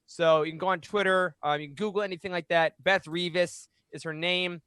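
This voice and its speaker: A man's voice: high-pitched, fairly even intonation, fairly quick, a bit bright, clean tone, dry recording. A man in his thirties hosting a podcast in an upbeat, chatty way, close on a microphone in a small home studio. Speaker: podcast host. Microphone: boom-arm microphone up close.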